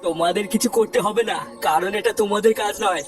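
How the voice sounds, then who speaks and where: A man's voice: high voice, fast talker, recorded from a distance, lightly acted. A man voicing a stern village pundit and ghost-banisher in a comedy cartoon, speaking in a solemn, commanding, declamatory way. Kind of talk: dramatic comic cartoon dialogue.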